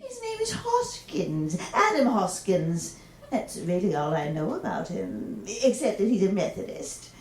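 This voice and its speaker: A woman's voice: medium pitch, sing-song, measured pace, recorded from a distance, slight background noise. A woman playing a sweet, prim, blithely murderous old aunt in a 1940s-style radio comedy. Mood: sweetly unruffled. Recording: roomy rehearsal recording.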